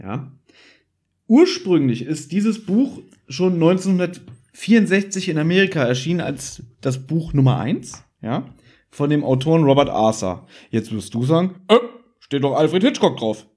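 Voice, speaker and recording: A man's voice: fairly high pitch, animated, normal speed, dark tone, husky. A man hosting a podcast in casual chat, explaining things discursively with asides and little tag questions. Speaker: podcast host.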